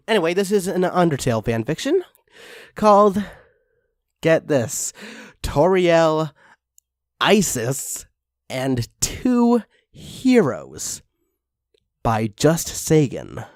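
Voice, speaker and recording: A man's voice: a little high, wildly swooping pitch, slow pace, pauses often, dry recording, clean studio sound. A man reading fan fiction casually with comic commentary, exasperated and given to swearing.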